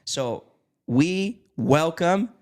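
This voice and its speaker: A man's voice: on the high side, expressive, very slow. A man hosting a podcast, enthusiastic and chatty, with many ums and restarts. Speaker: podcast host. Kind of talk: casual chat.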